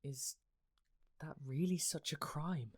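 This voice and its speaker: A woman's voice: sits low, slow, a little mellow, slightly rough, exaggerated voice. A woman acting in a radio play as an earnest, idealistic immortal, questioning and pleading with emotional investment. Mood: challenging, hurt. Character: earnest, idealistic, challenging immortal counterpart of Death.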